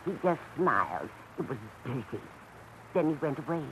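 A woman's voice: low voice, sing-song, moderate pace. Swooping, genteel older landlady. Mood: confiding. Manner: theatrical, genteel, musing. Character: genteel older landlady, wistful, chatty, protective. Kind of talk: 1940s radio acting. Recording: noisy vintage radio drama recording.

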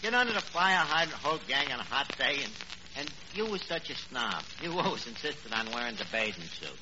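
An actor playing a dim, bluffing, uneducated city man with a halting, muddled delivery, in 1940s radio comedy style. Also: mid-range voice, very animated, lightly acted. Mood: coaxing. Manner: halting, muddled, mangling words. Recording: muffled, noisy old radio broadcast.

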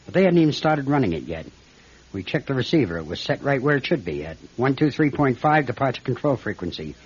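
An American airline captain in an old radio play, a man of earnest, clipped speech and troubled resolve. His voice is husky and mid-pitched. The recording is dull and lo-fi. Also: very animated, fast talker. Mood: tense, insistent. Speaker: radio drama actor. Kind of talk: classic radio drama acting.

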